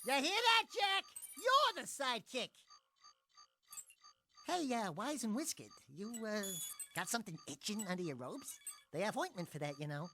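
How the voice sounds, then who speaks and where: A man's voice: high-pitched, sing-song, normal speed, bright, forceful tone, faint music behind, exaggerated voice. An actor voicing a small, wisecracking male animal sidekick in a cartoonish game, brash and mocking. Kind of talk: cartoonish video game cutscene acting.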